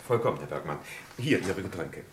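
An actor playing a discreet, obliging private secretary in a German radio crime drama, speaking briefly, formally and deferentially. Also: male voice, low-pitched, sing-song, brisk, background noise.

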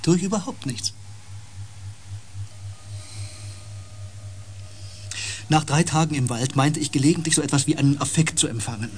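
A man playing a haunted, intense empath and space explorer in a radio drama, brooding and halting in fragmented phrases. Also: a little high, slight background noise, natural voice. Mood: agitated.

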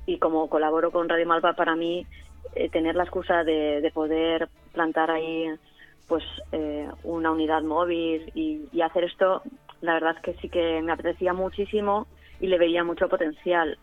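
Woman from spain on a thin phone line, pretty flat delivery, music behind, chatting as radio guest. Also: fairly low pitch, moderate pace, no room sound. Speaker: radio interview guest, community radio contributor. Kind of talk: conversational interview answers. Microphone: phone call.

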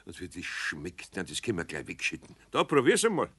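Older male master craftsman for a radio play, Bavarian accent, exasperated, animated delivery, faint noise. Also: low voice, brisk, heavily acted. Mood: disgusted. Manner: exasperated, homely. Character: grumbling but good-hearted older male master craftsman. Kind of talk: 1970s children's radio play acting.

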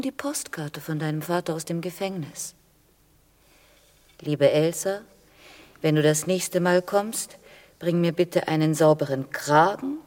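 A woman's voice: lowish voice, long reverb tail. A woman acting in a radio play as an elderly mother, dry and sceptical, who teases and fondly corrects her son. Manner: dry, teasing, gently contradicting.

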